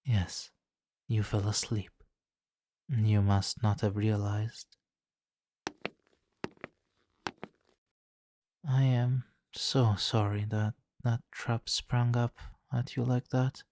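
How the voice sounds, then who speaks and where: A man's voice: on the low side, fairly even intonation, takes it slowly, a little mellow, dry recording. A man playing a suave, manipulative supervillain in an ASMR roleplay, silky and theatrically polite, smugly doting and sometimes slipping into whispers. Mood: amused, fond. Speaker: audio roleplay performer. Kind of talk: ASMR roleplay, hypnotic countdown.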